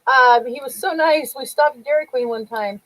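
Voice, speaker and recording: A woman's voice: high voice, moderate pace, fairly bright tone, poor audio. A woman co-hosting a casual livestream, reading a true-crime account aloud and laughing often while she greets viewers. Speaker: co-host.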